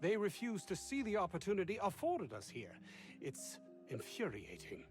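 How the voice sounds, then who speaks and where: Haughty, swooping research doctor. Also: male voice, high voice, wildly swooping pitch, moderate pace, soft background music. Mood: defensive, haughty. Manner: theatrical, haughty, formal. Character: arrogant, aggrieved male research doctor, self-important and scornful. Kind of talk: video game character dialogue.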